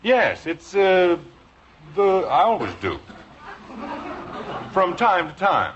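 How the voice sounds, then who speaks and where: Flustered sitcom dad, wildly swooping voice. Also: male voice, high-pitched, wildly swooping pitch, takes it slowly, fairly bright tone, full character voice. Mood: evasive, nervous. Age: middle-aged. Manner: hesitant stammering, exasperated. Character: flustered, evasive sitcom husband and father. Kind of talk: 1950s radio sitcom acting. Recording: noisy, muffled old radio show recording.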